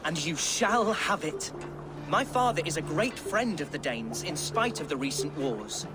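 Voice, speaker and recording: Eager, lively young nobleman. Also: a little slow, over loud music. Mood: earnest. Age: young adult. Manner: formal, earnest, high-flown. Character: earnest, eager, pious young noble lord. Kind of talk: dramatic video game acting.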